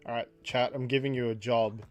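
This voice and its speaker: A man casually chatting on a livestream while he draws, reading out chat messages, laughing often and jumping up in pitch for jokes. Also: fairly even intonation, brisk, soft background music.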